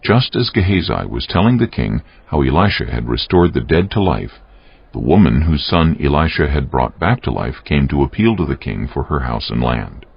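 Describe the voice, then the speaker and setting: A man's voice: extremely low pitch, sing-song, normal speed, vocal fry, husky, no echo. A man narrating a dramatized Bible audiobook in a scripted, dramatic storytelling style. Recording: dull, muffled audio.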